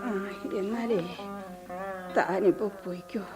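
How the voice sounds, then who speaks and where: Radio drama, actress as a stern middle-aged village mother, reproachful and deliberate. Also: medium pitch, steady tone, natural voice. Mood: bitter. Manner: slow, cutting and reproachful. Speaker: mother of the household. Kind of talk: 1970s radio drama acting. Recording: radio play with music behind.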